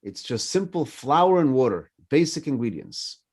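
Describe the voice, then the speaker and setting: Animated man teaching with stories. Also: medium pitch, moderate pace, slightly rough. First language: French. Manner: animated teaching with personal stories and repeated questions. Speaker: rabbi. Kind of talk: morning Torah class.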